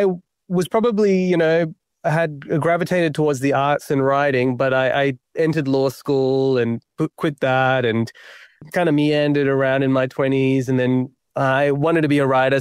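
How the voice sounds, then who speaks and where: A man's voice: mid-range voice, steady tone, moderate pace, clear, resonant tone, no echo, clean studio sound. A young Australian man, a playwright being interviewed, talking in a reflective, self-deprecating way with many fillers and restarts. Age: young adult. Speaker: playwright guest.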